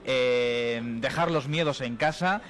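A man's voice: mid-range voice, takes it slowly, a bit bright. A man from Spain doing a live on-location interview as a radio reporter, chatty and jokey.

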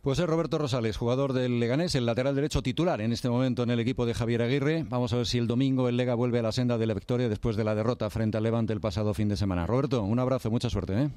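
Male sports interviewer, rapid and familiar delivery, mellow tone, very dry close sound. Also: mid-range voice, steady tone, clear, resonant tone, no room sound.

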